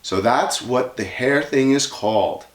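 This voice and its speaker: A man's voice: medium pitch, unhurried, reverberant, voice pushed up, lightly acted. A man voicing a blunt, accusing young girl from a children's storybook. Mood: sour, accusing. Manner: indignant, blunt.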